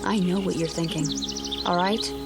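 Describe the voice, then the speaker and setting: A woman's voice: lowish voice, steady tone, normal speed, lightly acted. An actress playing an affectionate, practical young honeymooning wife in a radio drama, coaxing and reasoning with her husband. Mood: exasperated. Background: music under the voice.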